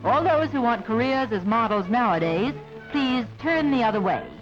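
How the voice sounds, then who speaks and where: Newsreel narrator, light playful tone, muffled old soundtrack under clear music. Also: medium pitch, animated, normal speed. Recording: dull lo-fi archival sound over music.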